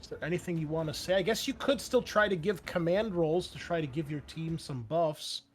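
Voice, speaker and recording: A man's voice: on the high side, brisk, soft background music. A man working as game master, narrating a tabletop roleplaying game in an informal, wry and exclamatory way.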